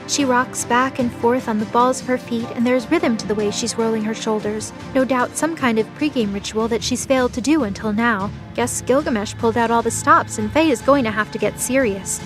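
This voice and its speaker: A woman narrates an audio drama in a high-pitched voice. Her delivery is scripted, dramatic storytelling. Music plays clearly behind her.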